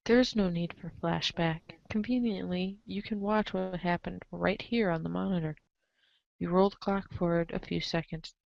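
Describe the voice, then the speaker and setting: A woman narrating a comic story aloud in an expressive read-aloud style, on a slightly dull recording. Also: lowish voice, normal speed, vocal fry, no echo. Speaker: narrator of a group webcomic reading.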